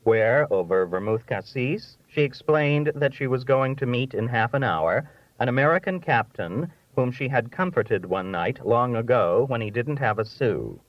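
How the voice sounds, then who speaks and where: A man reads a humorous essay aloud as an audiobook narrator. His delivery is measured and expressive, with a dry storytelling touch and a medium pitch. The recording is close and dry, with a slightly dull, lo-fi tone. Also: no room sound. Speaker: audiobook reader.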